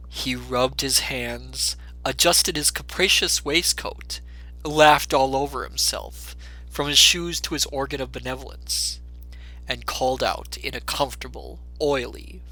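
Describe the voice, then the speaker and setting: A man reads a story as a theatrical narrator. His delivery is slow and deliberate with dramatic phrasing. The voice is bright, and music plays behind it. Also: mid-range voice, low-quality recording.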